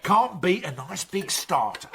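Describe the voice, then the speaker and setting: An actor playing a grumpy, bullying, gluttonous chief inspector in a radio drama, blunt, sarcastic and pompous. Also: male voice, high-pitched, very animated, unhurried, vocal fry, a crowd in the background, exaggerated voice. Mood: smug. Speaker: senior police detective. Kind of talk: BBC radio drama acting.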